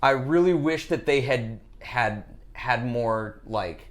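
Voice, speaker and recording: A man hosts a gaming podcast in easy, rambling chat. He jokes, restarts his sentences and fills gaps with ums, at an ordinary middle pitch. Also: slow pace. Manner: rambling, many fillers and restarts, joking. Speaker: podcast host. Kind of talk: casual chat.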